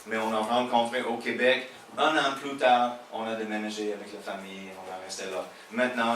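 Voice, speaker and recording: A man's voice: mid-range voice, noisy background. Male pastor, American-accented French with Québécois touches, hesitant and joking, miked from afar in a reverberant hall. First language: English. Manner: halting, many filler sounds, jokes with the audience. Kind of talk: sermon. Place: church hall. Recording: echoey hall heard from a distance.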